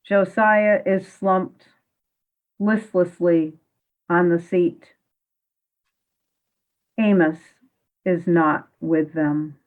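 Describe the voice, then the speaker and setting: A woman's voice: on the low side, fairly even intonation, very slow, long pauses, a little mellow. A woman narrating a radio play as a solemn storyteller of a ghostly tale. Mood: solemn, hushed. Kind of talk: scripted dramatic story narration. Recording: tinny, narrow phone-like line.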